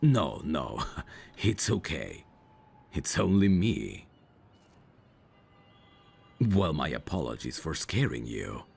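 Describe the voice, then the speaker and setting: A man's voice: rumbling low, sing-song, slow, creaky, long pauses, a little mellow, slightly rough, exaggerated voice. A man playing a suave, dangerous mafia boss in an ASMR roleplay, cocky, flirtatious and teasing. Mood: amused, concerned. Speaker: voice actor.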